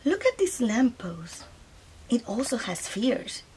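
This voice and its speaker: A woman's voice: a little high, sing-song, takes it slowly, darkish tone, slight background noise. A woman co-hosting a read-aloud for young children, exclaiming and explaining things simply.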